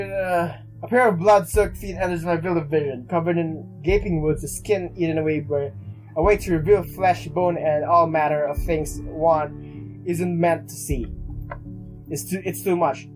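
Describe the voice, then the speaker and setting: Game music plays under a let's player with a mid-pitched voice. The player reads a visual novel aloud dramatically, often tripping over words, and adds casual reactions. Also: moderate pace, a little mellow, poor audio. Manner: stumbling, dramatic read-aloud.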